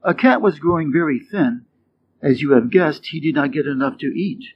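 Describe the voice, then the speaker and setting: An American man from the Midwest reading short fables and quiz questions clearly and deliberately for English learners. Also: on the high side, animated. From Wisconsin, USA. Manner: clear, deliberate, rising quiz intonation on answer choices. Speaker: English teacher reading stories. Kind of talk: slow scripted storybook reading for learners. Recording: dull lo-fi sound with faint noise.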